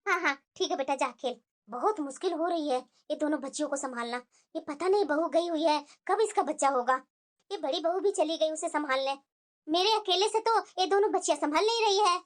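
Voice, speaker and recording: A woman voicing a scolding old grandmother, speaking in a low, harsh, grumbling tone in a home family drama. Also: fairly quick. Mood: worried. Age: older.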